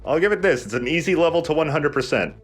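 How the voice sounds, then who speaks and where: A man's voice: fairly high pitch, expressive, quick pace, breathy edge, soft background music. A man giving casual, explanatory and opinionated let's play commentary.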